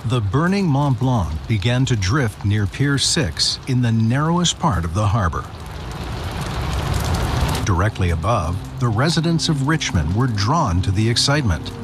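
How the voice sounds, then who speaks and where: A man's voice: mid-range voice, big pitch swings, unhurried. A man reading scripted historical documentary narration. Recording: loud music bed under narration. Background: loud music.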